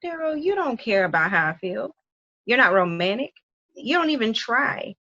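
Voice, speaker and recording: A woman's voice: on the high side, sing-song, moderate pace, pushed and bright, dry recording, full character voice. A woman acting a hurt young wife, muffled sound. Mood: hurt, angry. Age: young adult. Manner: emotional, complaining. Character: hurt, exasperated young wife longing for romance. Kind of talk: dramatic table read.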